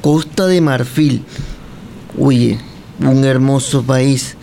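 A man's voice: medium pitch, fairly even intonation, gravelly, dark tone, slight background noise. A man from Cuba, chatting and teasing as he questions a guest and tells long stories. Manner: chatty, teasing, drawn-out storytelling. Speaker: guest interviewer. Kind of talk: casual chat.

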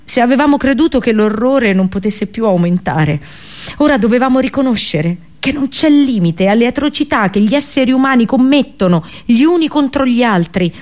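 An actress playing a captive Trojan princess and prophetess in a solemn radio theatre monologue, grave and sorrowful, with literary, declamatory phrasing. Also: female voice, fairly high pitch, animated, normal speed, darkish tone, lightly acted. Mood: anguished, bitter.

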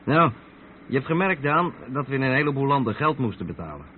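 An actor with a Netherlands Dutch accent playing an experienced long-haul trucker who patiently explains things in a radio play. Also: male voice, medium pitch, big pitch swings, brisk, natural voice. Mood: patient, explaining. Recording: muffled old radio play, vehicle noise.

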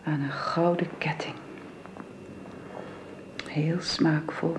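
A composed, gently insistent wife in a radio drama. Her voice is very low, with deliberate, probing delivery. Faint noise runs under it. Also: level tone, gravelly, exaggerated voice. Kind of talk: radio play acting.

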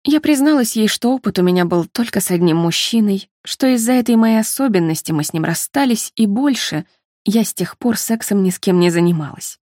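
A woman reading literary narration in a measured, expressive way. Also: medium pitch, no echo, clean studio sound. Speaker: audiobook narrator.

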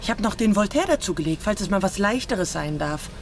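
A woman's voice: mid-range voice, animated, a little fast, lightly acted. An actress playing a teasing woman in a conversational radio drama scene. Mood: happy, teasing. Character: teasing, animated woman looking forward to holiday.

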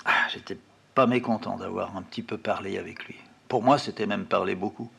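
Gravelly low-voiced male storyteller. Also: fairly even intonation, a little fast, a bit bright. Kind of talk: first-person memoir narration.

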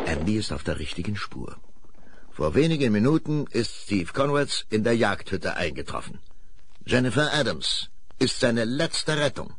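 A man's voice: on the low side, very animated, moderate pace, slight background noise. A man narrating a radio play as a storyteller, with suspenseful, emphatic delivery.

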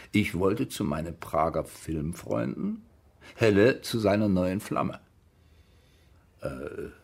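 An actor playing a wry former soldier who recounts his past in colloquial German radio drama monologue, with a gravelly, husky voice. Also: fairly low pitch, darkish tone, exaggerated voice.

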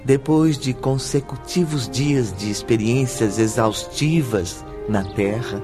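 A man narrating a radio drama with formal, measured, scripted storytelling. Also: medium pitch, over music.

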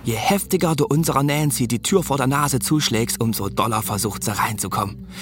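A man's voice: mid-range voice, fairly quick, very noisy, natural voice. A young man playing a talkative, cheeky sidekick who also narrates a radio drama in a flippant, colloquial way. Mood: wry. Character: chatty, cheeky young male sidekick and storyteller.

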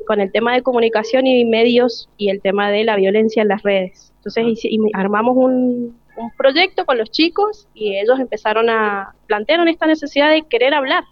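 A woman's voice: a little high, moderate pace, no room sound. A woman with an Argentine accent, a teacher explaining things colloquially in a live radio interview. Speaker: school teacher. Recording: slightly dull, lo-fi live broadcast sound.

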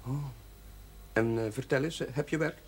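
Patient Dutch priest. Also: male voice, medium pitch, breathy edge. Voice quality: measured, gently stern. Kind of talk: 1970s TV drama acting.

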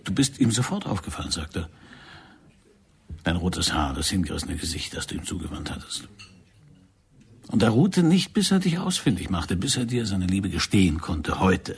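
An intense male secret agent for radio drama. His voice is very husky, with a gravelly creak. He is controlling and insistent, steering and probing with theatrical force. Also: low-pitched, big pitch swings, moderate pace, heavily acted. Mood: seductive, pressing.

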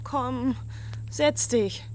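Anxious high-voiced woman. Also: very slow, a little mellow, a little hiss or hum. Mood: anxious, insistent. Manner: urgent, questioning. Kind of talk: German radio drama acting.

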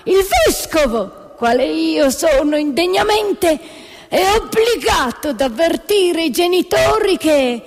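Woman putting on a shrill, pompous, fawning bishop. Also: expressive, long reverb tail, heavily acted. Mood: fawning, formal. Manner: drawn-out, declamatory, hesitant deference. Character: pompous, fawning male bishop, ceremonious and unctuous. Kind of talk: theatrical satire.

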